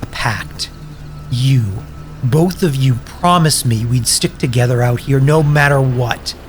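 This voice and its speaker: An actor playing a bitter, self-pitying wasteland survivor in an audio drama, sarcastic, profane and defensive. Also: male voice, medium pitch, a little slow, faint music behind, lightly acted. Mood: bitter, wounded.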